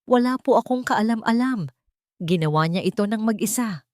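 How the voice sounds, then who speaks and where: Woman narrator doing a betrayed filipino wife and mom in a drama story, pleading, pitched up, dry sound. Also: animated, normal speed, a little mellow, no room sound, close to an everyday voice. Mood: distressed pleading. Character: distressed betrayed wife and protective mother. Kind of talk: scripted story dialogue.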